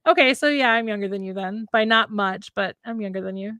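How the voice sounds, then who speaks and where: A woman's voice: medium pitch, brisk, bright, forceful tone, smooth, dry recording, clean studio sound. A woman in her late thirties chatting casually as a live stream co-host, reflective and rambling as she rephrases herself. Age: late 30s. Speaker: writer co-hosting a live stream. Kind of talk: casual chat.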